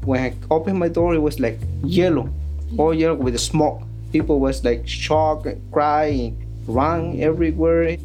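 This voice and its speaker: A man from Oaxaca in Mexico, a Triqui speaker, answering interview questions as a community worker in plain, halting English. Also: on the high side, a little mellow, faint music behind. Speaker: community worker for a legal aid group.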